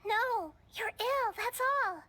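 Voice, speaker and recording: A woman voicing a small, childlike, gentle goddess in a video game, earnest and caring in manner. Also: extremely high pitch, animated, slow pace, background noise, exaggerated voice. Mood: earnest, pleading. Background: game music.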